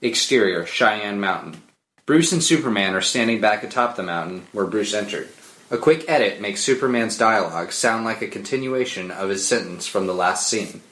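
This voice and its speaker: A man's voice: on the low side, expressive, moderate pace, fairly bright tone. A man narrating screenplay stage directions as scripted narration, with film-score music behind him. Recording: echoey room with music under the voice.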